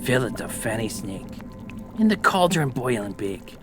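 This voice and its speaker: A man's voice: medium pitch, sing-song, music in the background, natural voice. Man as an eerie, swooping, chanting witch. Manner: slow, singsong incantation. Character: eerie witch chanting spells over a cauldron. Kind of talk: dramatic reading of Shakespeare.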